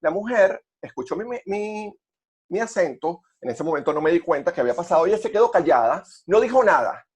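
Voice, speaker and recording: Venezuelan male, slightly high register, conversational podcast storyteller who circles back over words as he goes. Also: lively intonation, breathy edge. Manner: halting, repeats words while searching for phrasing. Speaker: podcast co-host.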